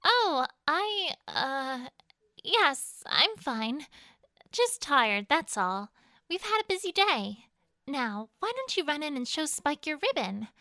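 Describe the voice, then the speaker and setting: A woman's voice: high voice, sing-song, slow, pushed and bright, no echo, clean studio sound, exaggerated voice. A woman playing an anxious, caring, studious young pony mother in an audio story, with a flustered, hesitant manner. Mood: flustered, reassuring. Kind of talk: acted audio story dialogue.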